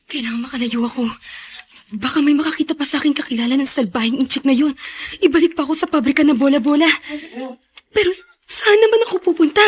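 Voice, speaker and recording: A woman plays a poor young runaway in a radio drama. Her voice sits fairly high, and she speaks with deference, timid and quick to apologize. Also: close to an everyday voice. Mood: anxious, hurried. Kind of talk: Filipino radio drama acting.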